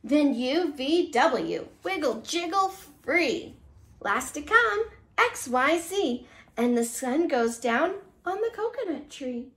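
A woman's voice: high-pitched, very animated, slow, fairly bright tone. A woman in her thirties reading aloud to young children as a preschool teacher, in a sing-song, exaggerated storytelling manner. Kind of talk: animated children's read-aloud. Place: small living room, seated on a couch.

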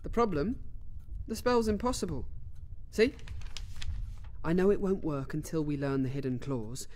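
A man's voice: fairly high pitch, moderate pace, darkish tone, a little hiss or hum, close to an everyday voice. A male actor playing an anxious, eager boy apprentice wizard in a radio drama, flustered and plaintive. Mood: worried, flustered.

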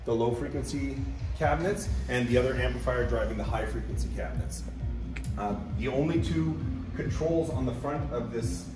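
A man's voice: medium pitch, normal speed. Distant, echoey man explaining equipment. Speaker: sales director. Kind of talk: technical product explanation. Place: hotel conference room at a trade show. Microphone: camera mic a few steps away. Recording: distant, echoey room with background music.

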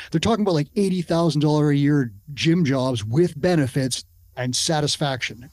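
A middle-aged man hosting a podcast, speaking in a measured, conversational way as he sets up questions for his guest. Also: medium pitch, darkish tone, dry recording. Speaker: podcast host. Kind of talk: casual podcast interview. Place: home studio with shelves. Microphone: studio podcast mic with headphones.

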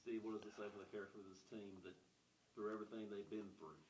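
A man's voice: fairly low pitch, steady tone, quick pace, darkish tone. A man, a reporter asking questions at a press conference in loose, conversational phrasing. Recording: distant roomy room mic with faint noise.

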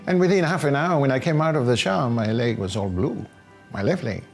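An older man from a small island, telling his personal story in an interview in a reflective, halting way. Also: mid-range voice, expressive, vocal fry. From small Pacific island north of Fiji. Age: older, about 60. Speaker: housing tenant giving a testimonial. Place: small living room at home, indoors. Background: background music.

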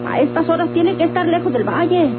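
A protective, anxious rural Mexican mother in radio melodrama. Her voice is extremely high. It comes through a tinny vintage recording with loud music. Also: animated, quick pace. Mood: worried, evasive. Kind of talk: vintage Mexican radio soap opera acting.

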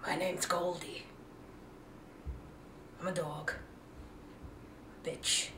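A woman's voice: on the low side, drawn-out words, background noise. Woman playing a surly dog, echoey room. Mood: deadpan, defiant. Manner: deadpan, defiant. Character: gruff, foul-mouthed, cigar-smoking female dog. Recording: echoey room, distant mic.

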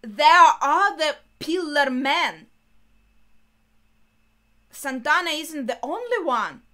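A woman's voice: high voice, lively intonation, takes it slowly, sharp tone. A young adult woman giving casual reaction commentary as a video host. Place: small bedroom, indoors.

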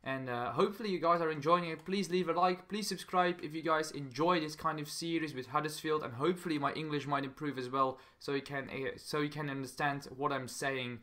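A young man from Belgium with a Belgian accent, hosting a gaming channel with casual commentary in halting, non-native English that often repeats phrases. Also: on the high side, steady tone, sharp tone.

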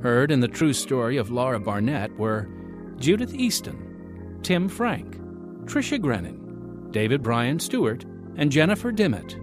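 A man hosting an evangelistic radio program, reading a script in an earnest, deliberate, clearly enunciated announcer style. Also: mid-range voice, big pitch swings, over music.

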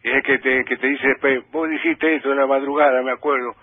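A man with a provincial Argentine accent talks as a comic guest on the radio over a narrow, dry phone line. His voice is husky, and he rambles, repeating words as he goes. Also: medium pitch, steady tone, quick pace, no room sound. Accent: Argentine, provincial Santa Fe. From Santa Fe, Argentina. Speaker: humorist and overnight radio presenter, interviewed by phone. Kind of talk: comic chat, telling jokes. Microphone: phone call.

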